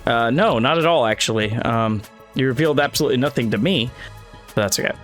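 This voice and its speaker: Guy playing a story game, reading it out loud, tripping on words, bit of music behind. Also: medium pitch, quick pace. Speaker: let's player reading a game aloud. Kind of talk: casual playthrough narration with asides. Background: video game music.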